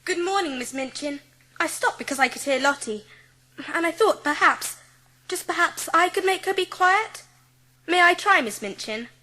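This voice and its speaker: Woman as a bright, high-voiced kind schoolgirl. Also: animated, moderate pace, exaggerated voice, low-quality recording. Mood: polite, hopeful. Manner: polite, earnest, gentle. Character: kind, composed, imaginative seven-year-old schoolgirl. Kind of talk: dramatised audiobook acting.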